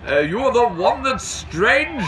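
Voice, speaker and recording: A folksy, chatty village policeman from a storybook, played by a man. His voice is raised and animated, with bustle outdoors behind it. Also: very high-pitched, slow, a crowd in the background, voice pushed up, heavily acted. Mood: amused. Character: chatty, gossipy village policeman, amused and deferential. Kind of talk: storybook reading.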